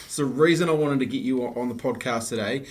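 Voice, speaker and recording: A man's voice: medium pitch, fast talker. A man hosting a podcast interview, friendly and enthusiastic, often repeating words as he starts a sentence. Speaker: podcast host.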